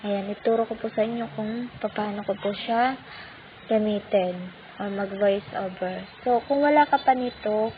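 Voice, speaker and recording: A woman's voice: medium pitch, a little slow. A woman speaking with a Filipino accent, walking viewers through a phone app tutorial step by step in a polite, patient way. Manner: polite, patient, uses many "po" courtesy markers. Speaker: tutorial channel host. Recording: tinny phone-like audio with faint noise.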